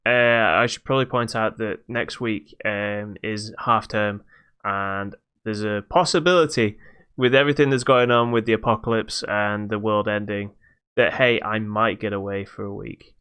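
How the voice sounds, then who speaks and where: A middle-aged man co-hosting a casual podcast, talking in a halting, hesitant way. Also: lowish voice, steady tone, fairly bright tone, smooth. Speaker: podcast co-host.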